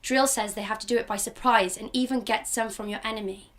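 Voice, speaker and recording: A woman voicing a loud, excited little girl in a student radio drama. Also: a little high, a little fast, lightly acted. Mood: eager. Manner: eager, breathless, giggly.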